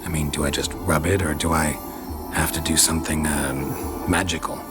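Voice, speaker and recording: An actor playing a gruff, weary, sardonic monster hunter in a video game, with terse, clipped, deadpan delivery. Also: male voice, very deep voice, normal speed. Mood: deadpan curious. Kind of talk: dramatic video game dialogue. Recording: game music under the voice.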